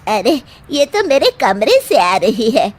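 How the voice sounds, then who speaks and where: A woman's voice: on the high side, very animated, slow, husky, full character voice. A woman voicing an older, exasperated mother-in-law who fusses and scolds in a cartoon story. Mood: puzzled. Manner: scolding, fussing. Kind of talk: Hindi cartoon story acting.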